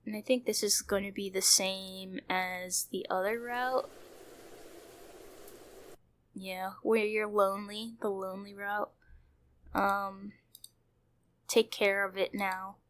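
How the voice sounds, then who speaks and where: A woman's voice: medium pitch, flat delivery, takes it slowly, pauses often, fairly bright tone. A woman hosting a playthrough, reading visual novel narration aloud and adding her own commentary. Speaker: host of a video game playthrough channel. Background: background music.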